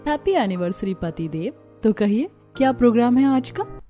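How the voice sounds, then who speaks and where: A woman's voice: a little high, lively intonation, moderate pace, mellow, faint music behind, lightly acted. A woman voicing a devoted young wife in a cartoon story, sweet and attentive. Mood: happy, romantic.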